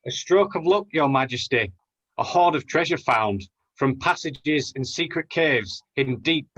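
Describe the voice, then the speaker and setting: A man's voice: mid-range voice, expressive, a little slow, fairly bright tone, muffled recording. A man playing an eager, earnest poor young lad in a rhyming pantomime. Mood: pleading. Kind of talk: rhyming amateur pantomime acting.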